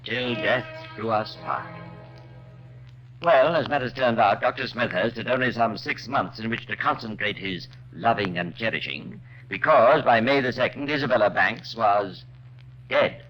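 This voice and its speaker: A man's voice: mid-range voice, normal speed, faint music behind, lightly acted. A man narrating as a wry, urbane true-crime storyteller in 1950s radio drama style, dry and conversational. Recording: muffled, noisy old radio recording.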